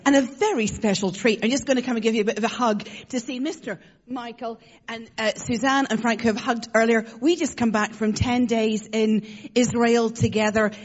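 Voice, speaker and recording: A woman's voice: on the high side, highs cut off. A woman with an Irish accent, a guest preacher giving a conversational sermon, chatty and joking with frequent fillers. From Ireland.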